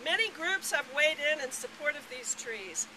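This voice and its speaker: A woman's voice: sits high, expressive, normal speed, bright, forceful tone, low-quality recording. An older woman reading a prepared speech at an outdoor rally in an earnest, persuasive way. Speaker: rally speaker. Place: outdoors on a campus lawn. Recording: clear outdoor ambient noise, traffic.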